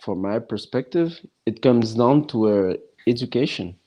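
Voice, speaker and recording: A man who speaks English as a non-native language, hosting a casual podcast chat and talking haltingly with many restarts. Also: medium pitch, animated, mellow. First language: neither English nor French.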